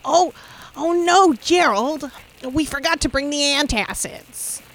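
A woman's voice: high-pitched, slow pace, a bit bright, exaggerated voice, poor audio. A woman playing a fussy, exasperated woman who nags her companion in a comic radio drama. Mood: conspiratorial. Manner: exasperated, nagging.

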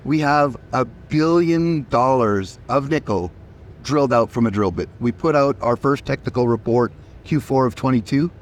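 A man's voice: medium pitch, moderate pace. Emphatic man losing his voice. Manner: emphatic, repeats words when starting. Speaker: company chief executive. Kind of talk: interview answers, pitching.

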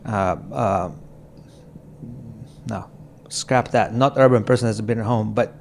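A middle-aged man from Croatia, a podcast guest speaking slowly and reflectively in an apartment, with halting phrasing, frequent pauses and soft laughs. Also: lowish voice, vocal fry, slight background noise. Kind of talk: reflective conversation. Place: apartment in a city.

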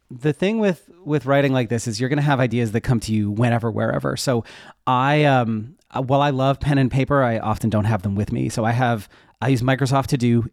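A man's voice: mid-range voice, gravelly, darkish tone, no echo, studio quality. A man giving casual interview answers in an informal, rambling way, often interrupting himself. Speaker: interview guest, web developer and newsletter writer.

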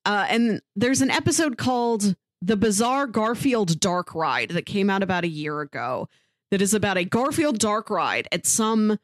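A woman's voice: medium pitch, lively intonation, normal speed, no room sound, studio quality. A woman co-hosting a podcast, giving recommendations in a chatty, informal way with casual asides. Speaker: podcast co-host.

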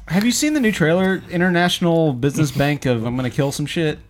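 A man's voice: on the high side, fast talker, soft background music. A man hosting a casual movie discussion, joking, profane and opinionated. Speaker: podcast host leading a film discussion.

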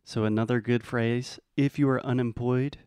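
An American man teaching English in a bilingual lesson, explaining patiently and modeling phrases slowly. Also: mid-range voice, fairly even intonation, a little mellow, clean tone, no room sound, studio quality. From United States.

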